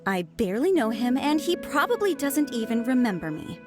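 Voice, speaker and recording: Eine junge, verliebte Forscherin, die sich hoch und aufgeregt verteidigt. Ihre Stimme schwankt lebhaft, und leise Musik liegt darunter. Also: female voice, on the high side, very animated, a little fast, over music. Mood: flustered. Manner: flustered, defensive. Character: young female researcher, lovesick and defensive. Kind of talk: video game voice acting.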